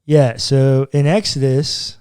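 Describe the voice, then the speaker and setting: A man's voice: medium pitch, level tone, takes it slowly, a little mellow, clear, resonant tone, no room sound, studio quality. A man co-hosting a podcast as a pastor, chatting casually about the Bible with informal, slangy asides. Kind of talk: casual conversational Bible discussion.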